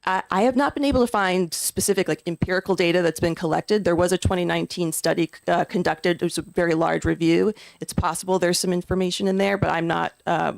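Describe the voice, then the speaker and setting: A witness testifying before a legislative committee in an earnest, emphatic way, often pausing on fillers and restarting sentences. The voice has a gravelly, creaky edge and mid-range pitch. It sounds dry, with almost no room echo. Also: steady tone. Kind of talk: public testimony.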